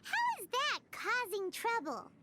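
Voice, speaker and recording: A woman voicing a small, expressive childlike fairy companion in a video game, with a squeaky voice. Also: slow, very noisy, exaggerated voice. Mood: puzzled. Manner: expressive, refers to self in third person. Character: small childlike floating fairy companion, chirpy, blunt, emotional. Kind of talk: video game character acting. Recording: game dialogue over loud music.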